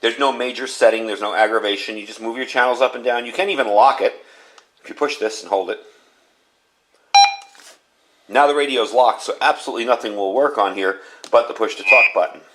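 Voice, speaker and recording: A man's voice: medium pitch, fairly bright tone. An American man giving a casual product review in a plainspoken, conversational way, explaining things as he goes.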